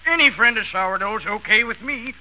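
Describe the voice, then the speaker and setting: An actor playing a rowdy, folksy, backslapping American Western pal in a radio comedy. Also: male voice, sits high, brisk, close to an everyday voice. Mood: hearty. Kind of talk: 1930s-40s radio comedy acting. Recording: dull vintage radio broadcast.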